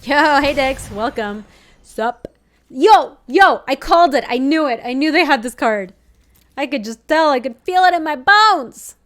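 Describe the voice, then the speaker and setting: A woman's voice: high voice, expressive, a bit bright. Chatty young woman streaming a card game. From Ecuador. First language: Spanish. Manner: chatty, reads chat aloud, quick reactions and laughs. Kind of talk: casual live-stream gameplay commentary.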